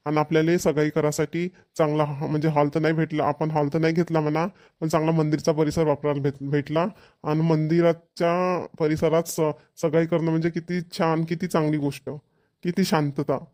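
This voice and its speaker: A man's voice: on the high side, a little mellow, close to an everyday voice. A man voicing a chatty, contented village family man in a cartoon, speaking rural Varhadi Marathi in an emphatic, repetitive way. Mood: approving, chatty. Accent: rural Maharashtrian, Varhadi.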